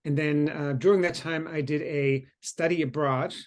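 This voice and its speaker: A middle-aged man from Germany, a biology professor speaking on a Q&A panel in a relaxed way, clearing his throat now and then. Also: a little high, moderate pace. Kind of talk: panel Q&A introduction.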